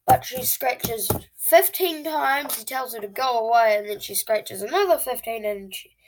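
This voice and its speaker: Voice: mid-range voice, lively intonation, normal speed, a little hiss or hum, low-quality recording. An eleven-year-old child hosting a video channel, telling stories casually to viewers in a dramatic, whiny way. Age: child, eleven years old.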